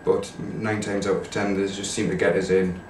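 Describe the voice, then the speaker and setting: A man's voice: low voice, flat delivery, moderate pace, slight background noise. A nineteen-year-old man, speaking in a weary, complaining way in an interview. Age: teens. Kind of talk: casual interview answers. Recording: echoey room, distant mic.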